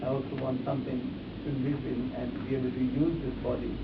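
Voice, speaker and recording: A man's voice: medium pitch, little pitch movement, a little fast. A man giving a live demonstration as an instructor, speaking emphatically and repeating phrases as he addresses volunteers directly. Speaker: face reading instructor. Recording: echoey distant room, muffled, hissy.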